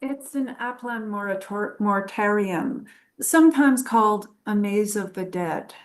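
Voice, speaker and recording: Woman as a self-assured adventurer, medium pitch. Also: unhurried, lightly acted. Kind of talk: script table read.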